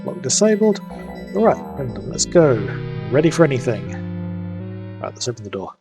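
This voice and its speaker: A man's voice: mid-range voice, sing-song, over music. A man, sniffly from hay fever, chatting casually as he streams a game and recaps the story. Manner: rambling, fills pauses with "um". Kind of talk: casual live-stream commentary.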